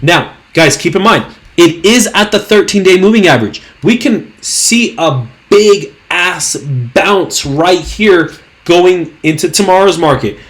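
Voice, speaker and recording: A young man with a hoarse, worn voice hosting a trading channel, talking through stock charts in a hyped, slangy, rambling way full of fillers. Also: high-pitched, expressive, measured pace. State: hoarse, losing his voice. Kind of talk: casual screen-share market commentary.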